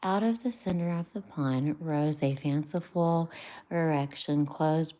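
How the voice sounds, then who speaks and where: A woman streamer reading a classic children's book aloud in a soft, half-whispered voice, stumbling now and then over words. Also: sits low, measured pace. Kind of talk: live-streamed storybook reading.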